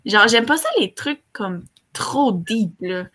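A young woman with a bright, forward voice and a Québécois French accent. She talks casually as a panelist, in a small room at home, through a computer mic on a video call. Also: mid-range voice, lively intonation, slow, slightly rough. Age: young adult. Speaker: talk show panelist. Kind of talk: casual chat.

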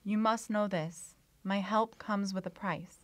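A beguiling young woman healer in a radio play, played by a woman, enigmatic and measured in her old-fashioned phrasing. Her voice sits at a medium pitch and is recorded close and dry. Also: steady tone, no echo, close to an everyday voice. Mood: insistent, mysterious. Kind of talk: radio drama acting. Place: small indoor radio studio. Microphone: shared vintage-style studio mic on stand.

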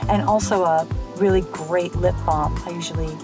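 A woman's voice: on the low side, fairly even intonation, normal speed, over music. A woman in her fifties hosting a casual snack review in a parked car, chatty and enthusiastic. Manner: chatty, enthusiastic, exclaims over items. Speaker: host. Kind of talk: casual chat, product review. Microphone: camera mounted in car.

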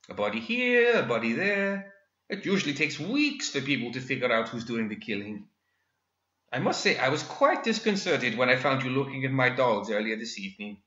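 A man's voice: on the high side, sharp tone, pitched above the performer's own voice. A man voicing a smug, pleasantly mocking male villain inventor who is part machine, in a theatrical manner. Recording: dull echoey room.

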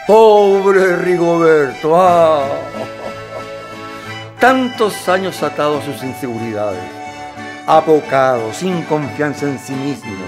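A man's voice: on the high side, expressive, takes it slowly. A man with a Chilean accent narrating a radio play as a wry, pitying storyteller. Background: accordion music.

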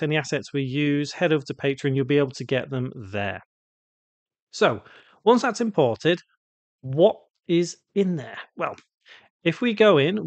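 A man with a slightly high voice gives step-by-step software instruction as a tutorial host. The recording is dry and close, with very little room sound around his explanatory narration. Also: no echo.

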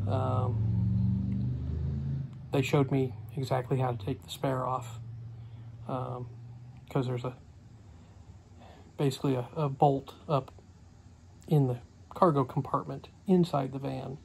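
A middle-aged man recaps his day in a slow, unhurried ramble full of "uh" and "um." His voice is gravelly and stays flat, with little rise or fall. A faint hiss sits under the phone recording. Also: medium pitch. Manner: rambling, many fillers. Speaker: homestead vlogger. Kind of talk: casual daily vlog recap. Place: small cluttered room indoors. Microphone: phone camera close to face.

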